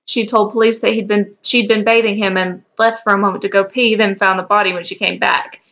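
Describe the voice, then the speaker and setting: An earnest male investigator read by a woman in fan fiction, laying out facts briskly and surely. The voice is bright with some fry, on a slightly dull mic. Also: medium pitch, little pitch movement, natural voice. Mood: brisk, assured.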